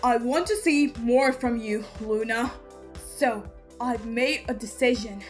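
A woman's voice: a little high, measured pace, lightly acted. A woman voicing a brash, cocky, jealous male boss angel in a comic dub, with a swaggering, crude, emphatic delivery. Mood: bossy. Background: background music.

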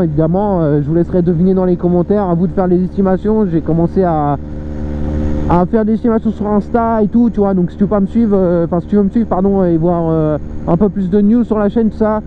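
Young dude motovlogging, high voice, slangy and rambly, muffled helmet mic w/ engine and wind noise. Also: male voice. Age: young adult. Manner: slangy, hesitant, repeats words for emphasis. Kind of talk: casual vlog monologue while riding. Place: outdoors, riding a moped on country roads. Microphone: microphone inside a motorcycle helmet.

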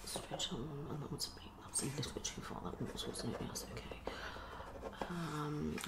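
A woman's voice: lowish voice, gravelly. A woman, around her thirties, performing an ASMR psychologist roleplay, muttering and repeating herself as she slips between whispers and speech. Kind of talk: fast, unpredictable ASMR roleplay. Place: small living room, indoors.

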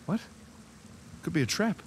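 An actor playing a likeable, eager, wisecracking adventurer in a video game cutscene, speaking casually. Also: male voice, measured pace, a little hiss or hum, close to an everyday voice. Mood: wary joking.

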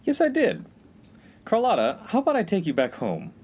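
A man's voice: high-pitched, wildly swooping pitch, normal speed, a little mellow, slight background noise. A young man playing an exasperated, put-upon college freshman with a dry manner in a comedy radio play. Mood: placating. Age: young adult. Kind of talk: 1950s-set comedy radio play acting.

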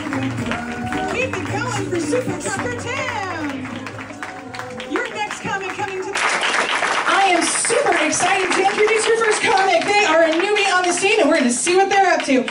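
A woman's voice: very high-pitched, big pitch swings, moderate pace. A woman hosting a comedy show, announcing the next comic in a hyped emcee manner. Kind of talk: introducing comics. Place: small comedy club stage. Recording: echoey club from far off, music and crowd loud.

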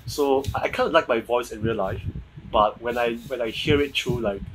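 A man's voice: mid-range voice, normal speed, a little hiss or hum, low-quality recording. A young man in his twenties with a Singaporean English accent, hosting a podcast over a video call and chatting loosely with frequent restarts. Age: 20s. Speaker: podcast host. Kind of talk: casual video-call chat. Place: home room with shelves. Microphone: earphone mic on video call.

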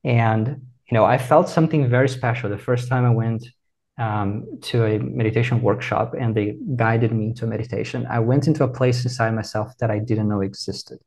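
A man, answering in a measured, reflective, teacherly way over a video call. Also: fairly low pitch, fairly even intonation, gravelly, a little mellow. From Brazil. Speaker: meditation teacher, guest. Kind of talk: calm explanatory interview answers.

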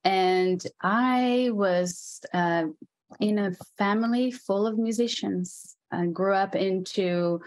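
A woman in her forties with an Israeli accent, telling her story as a guest musician in an unhurried way, speaking from home on a video call. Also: mid-range voice, no room sound. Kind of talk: conversational interview storytelling. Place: home room at a desk. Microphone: earphone headset on a video call.

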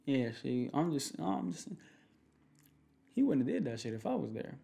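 A young man speaking in a casual, slangy, halting way in an ASMR boyfriend roleplay. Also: mid-range voice, big pitch swings, creaky, dark tone. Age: young adult. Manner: slangy, laid-back, halting with repeated phrases. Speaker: ASMR creator playing a protective boyfriend. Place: small home room, indoors.

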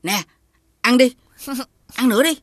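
An actress playing a bossy, sly woman food seller in an animated folk tale, with a Southern Vietnamese accent. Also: a little high, a little slow, lightly acted. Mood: coaxing. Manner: scolding, switches to coaxing.

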